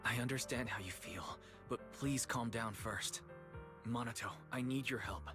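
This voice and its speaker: Man acting a calm young detective type guy in a game, normal pitch, thoughtful, music going behind him. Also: moderate pace, natural voice. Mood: calm, serious. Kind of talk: video game dialogue acting.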